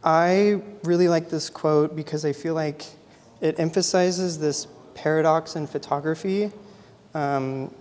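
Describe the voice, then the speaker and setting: A soft-spoken young man from Idaho gives a relaxed artist talk in a gallery. His voice sits a little high for a man and trails into a long hall reverb. He fills his pauses with "um" as he goes. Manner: soft-spoken, many ums. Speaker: photographer giving an artist talk.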